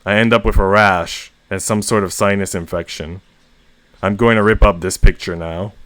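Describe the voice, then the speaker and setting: A man reading first-person short stories aloud as host, with expressive emphasis on the narrator's exasperation. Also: fairly low pitch, normal speed, dry recording. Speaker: story reader and host.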